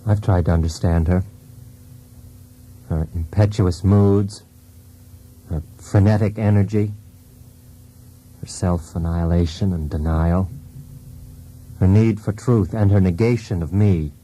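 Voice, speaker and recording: An actor playing a wounded, brooding husband in a poetic radio drama, speaking in a deliberate, intense, theatrical way. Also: male voice, low-pitched, fairly even intonation, dark tone, poor audio. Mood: bewildered, anguished. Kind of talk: poetic monologue in a radio play. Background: music.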